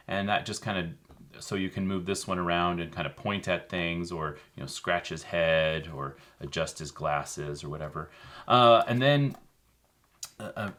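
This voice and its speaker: A man with a very low voice talks through a how-to in a relaxed, easygoing way. He co-hosts the show and fills his explanations with ums and uhs. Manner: relaxed, explanatory, many fillers. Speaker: co-host. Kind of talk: casual how-to chat.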